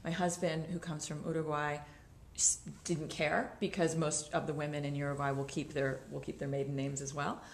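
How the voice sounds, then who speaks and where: A middle-aged woman chatting as a guest, relaxed and self-deprecating. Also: sits low, moderate pace, reverberant, slight background noise. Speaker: guest mom. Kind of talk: casual chat.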